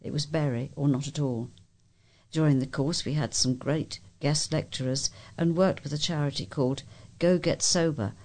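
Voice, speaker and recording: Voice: medium pitch, a little mellow. A volunteer newsreader reading local news stories and quotations aloud. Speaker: volunteer newspaper reader.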